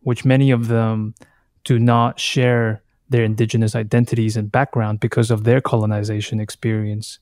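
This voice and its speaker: A man in his thirties from Taiwan, a native Taiwanese speaker, talking reflectively as an interview guest in a halting, thoughtful way, often circling back to rephrase. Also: fairly low pitch, level tone, a little mellow, no room sound, clean studio sound. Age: 30s. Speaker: podcast guest, artist.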